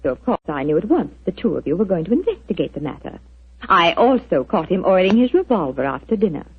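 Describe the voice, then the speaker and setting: A shrewd, composed wife in a vintage radio play. Her voice sits low and sounds very breathy, with an expressive lilt. The sound is dull, like an old broadcast. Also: fast, exaggerated voice. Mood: poised. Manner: poised and lightly teasing. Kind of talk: 1940s radio acting.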